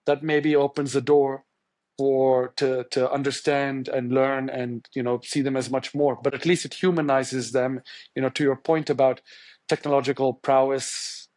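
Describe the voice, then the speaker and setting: A man's voice: medium pitch, level tone, moderate pace, a little mellow, dry recording. A man from India whose first language is Tamil, a journalist answering interview questions in a thoughtful, searching way with many restarts. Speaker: journalist guest.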